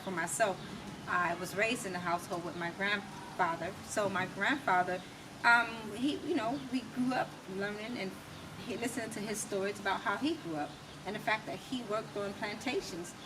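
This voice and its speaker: An American woman telling her personal story as an interview guest, emphatic and reflective, in short deliberate phrases. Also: mid-range voice. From United States. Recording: distant mic, clearly noisy background.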